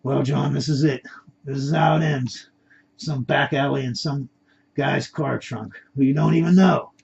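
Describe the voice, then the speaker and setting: Man as a weary, stubborn old captive, gravelly. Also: medium pitch, fairly even intonation, measured pace, a little mellow, full character voice. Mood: resigned. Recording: dull lo-fi recording.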